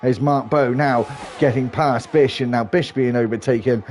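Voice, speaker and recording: A man giving live commentary on an online sim race, talking in a steady running flow with small restarts and sounding a bit stuffy from hay fever. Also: medium pitch, normal speed.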